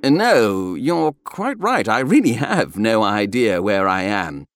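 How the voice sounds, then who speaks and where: Casting: bookish young male space crewman, acted by a male narrator, courteous and earnest, very animated intonation, dry recording. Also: lowish voice, takes it slowly, dry recording, heavily acted. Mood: nervous, polite. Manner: polite, earnest.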